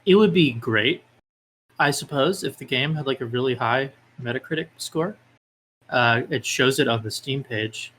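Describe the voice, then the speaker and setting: A man's voice: mid-range voice, moderate pace, a bit bright, slight background noise, rough recording. A man being interviewed as a guest, talking casually with hesitant phrasing and lots of filler words. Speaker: podcast guest, independent game developer.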